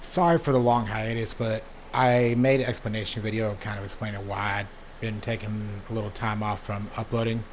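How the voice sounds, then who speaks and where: Young man, hoarse voice, laid-back, plain-spoken, gaming host, faint background noise. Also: lowish voice, little pitch movement, normal speed. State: hoarse from allergies. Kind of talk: casual gameplay commentary.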